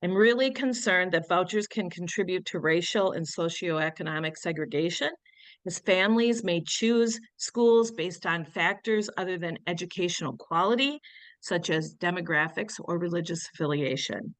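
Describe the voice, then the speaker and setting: A woman's voice: lowish voice, no room sound. Sniffly woman candidate with a cold. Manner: firm, earnest and measured. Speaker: candidate for state senate. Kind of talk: answering questions at a candidate forum.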